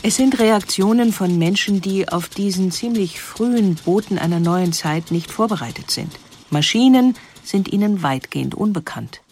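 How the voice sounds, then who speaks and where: A woman reading scripted documentary narration in a measured, explanatory way. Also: mid-range voice, expressive, darkish tone, a little hiss or hum.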